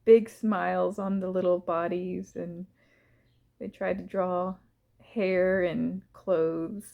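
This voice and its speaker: A woman gently leading a guided visualization in the first person, soft and unhurried, pausing between short phrases. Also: fairly low pitch, little pitch movement, vocal fry, narrow, tinny audio.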